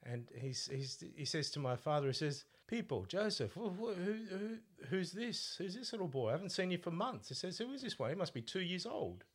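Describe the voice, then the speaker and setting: Australian man telling relaxed stories. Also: sits high, brisk, hardly any pauses. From Italy. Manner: relaxed storyteller, laughs at own stories. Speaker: podcast co-host, coach. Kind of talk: casual conversational chat.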